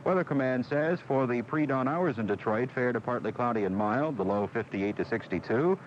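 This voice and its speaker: A man's voice: medium pitch, lively intonation, a little fast. An American man anchoring local radio news, reading headlines and weather on an old AM broadcast. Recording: dull AM radio, faint noise.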